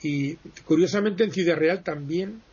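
A man explains history in a relaxed radio interview. He digresses and pauses often, correcting himself as he goes. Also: on the high side, slightly rough. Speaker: history guest speaker. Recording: muffled lo-fi broadcast sound.

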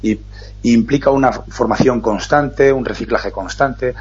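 A man hosting a radio talk show, explaining at length in an earnest, fast way. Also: mid-range voice, darkish tone, husky, highs cut off. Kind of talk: casual radio chat.

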